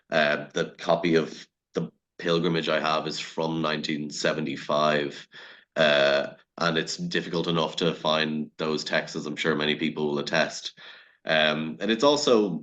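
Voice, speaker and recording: A man with a very low voice speaks as a panelist in an academic discussion. His delivery stays quite flat in pitch, and his phrasing is scholarly and wordy. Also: lo-fi sound.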